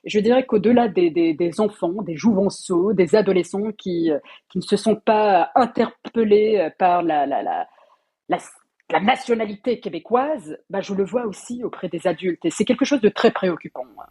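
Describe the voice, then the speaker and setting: Mellow, husky woman on a radio panel. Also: medium pitch, moderate pace. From came to Quebec at seven, of Moroccan and Sri Lankan descent. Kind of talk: current affairs discussion. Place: radio studio.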